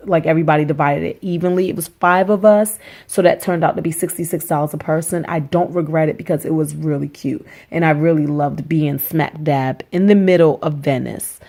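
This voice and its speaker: Casual vlogger, woman, a little hoarse from a cold, upbeat and talky, lower pitch, recorded on a camera mic indoors. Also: mellow. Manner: chatty, upbeat, talks to viewers as y'all. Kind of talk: casual budget vlog. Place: small rented apartment indoors.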